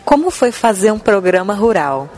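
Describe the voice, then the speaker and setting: A woman's voice: mid-range voice, very animated, normal speed, darkish tone, faint music behind. A woman interviewer asking short, direct questions.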